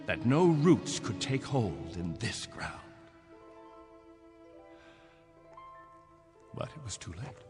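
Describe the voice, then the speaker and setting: Aging male starship captain, radio drama. Wistful and deliberate, wide-ranging delivery, reverberant, music underneath. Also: lowish voice, heavily acted. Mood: regretful, weary. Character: aging spaceship captain, wistful leader of stranded colonists.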